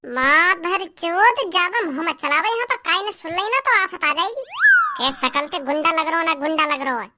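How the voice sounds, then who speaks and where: A performer voicing a sly, cheeky village youth in a comedy cartoon, with a mocking, drawn-out way of talking. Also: very high voice, animated, heavy background noise. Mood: annoyed, scolding. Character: cheeky, sly village youth, smooth-tongued prankster friend.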